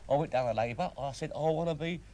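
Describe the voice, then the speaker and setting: A man's voice: a little high, fast talker, warm tone, lightly acted. A man playing an aggrieved old working-class Londoner who rants and repeats himself in a London accent, as improvised dialogue in an amateur film. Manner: ranting, repetitive, mild swearing. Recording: noisy, clicking old 1970s film soundtrack.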